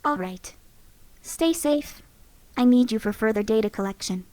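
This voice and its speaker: A woman voicing a calm, curious female robot companion with a clipped, even delivery. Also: fairly high pitch, normal speed, no echo, lightly acted.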